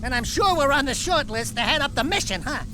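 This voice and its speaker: Casting: wisecracking cartoon animal sidekick, cowardly yet boastful, high-pitched and animated. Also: male voice, background noise. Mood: indignant. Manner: brash, whiny, fast-talking braggart. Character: cocky little wisecracking animal sidekick, male, excitable, cowardly. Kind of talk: animated video game cutscene acting. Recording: loud music under the voice.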